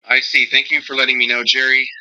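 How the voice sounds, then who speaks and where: A man's voice: mid-range voice, little pitch movement, quick pace, pushed and bright, muffled recording. A man's voice as a recruiter, conducting a scripted screening call in a polite, formal manner over band-limited phone audio.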